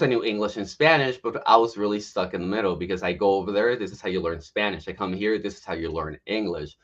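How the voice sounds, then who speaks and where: A man's voice: fairly low pitch, a bit bright, dull audio. A man in his late thirties from Mexico tells his life story as a guest on a morning livestream, speaking frankly and poking fun at himself. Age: 30s. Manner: candid, self-mocking storyteller who laughs often. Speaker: guest health coach.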